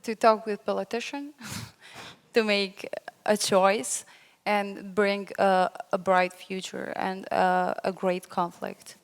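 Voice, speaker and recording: A young woman giving an unscripted panel answer, halting as she searches for words. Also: medium pitch, flat delivery. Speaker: panel speaker. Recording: echoey hall with long reverb.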